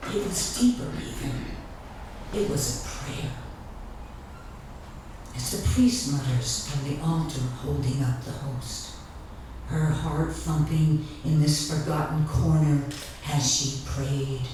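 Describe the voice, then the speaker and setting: A woman giving a dramatic literary reading of her own writing, theatrical and deliberate, with long pauses between phrases. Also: sits low, a little mellow. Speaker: author reading from own memoir. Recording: echoey room, distant mic, faint background noise.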